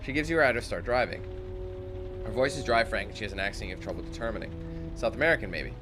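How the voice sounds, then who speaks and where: A man doing casual let's-play commentary, thinking out loud, talking to his viewers and reading the game text aloud. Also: on the low side, quick pace. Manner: chatty, thinks out loud, talks to viewers as squad. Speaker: gaming YouTuber. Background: game music.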